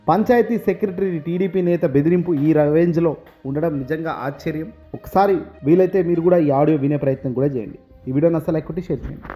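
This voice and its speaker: Male news presenter, high voice, emphatic and opinionated commentary over music. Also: dark tone. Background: background music.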